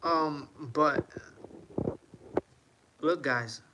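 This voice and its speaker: A man's voice: a little high, extremely slow, background noise. A man from New York City with an American accent, ranting casually on a livestream in an emphatic, repetitive way. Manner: emphatic, repeats himself for effect. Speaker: live streamer.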